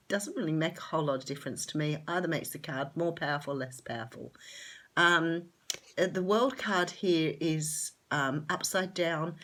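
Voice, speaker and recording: This is a woman with an Australian accent, doing a casual tarot reading to camera. Her voice sits low, and it sounds nasal and a little rough from a cold and a sore throat. She talks in a chatty, enthusiastic way, filling pauses with plenty of ums. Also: a bit bright. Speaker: tarot reader.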